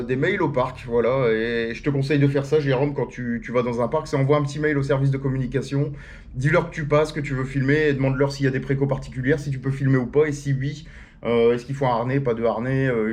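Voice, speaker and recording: A man talks straight to his viewers in a loose, slangy way, answering their questions and joking along. His pitch sits in the middle for a man. He often jumps much higher to stress a point. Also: steady tone, fast, darkish tone. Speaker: theme-park YouTuber answering viewer questions. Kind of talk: casual chat, FAQ to camera.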